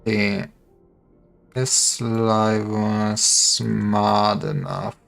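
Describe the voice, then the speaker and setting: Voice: mid-range voice, little pitch movement, drawn-out words, vocal fry, faint music behind. A game streamer chatting casually on a livestream, thinking aloud and breaking off mid-thought. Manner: thinking aloud, self-interrupting.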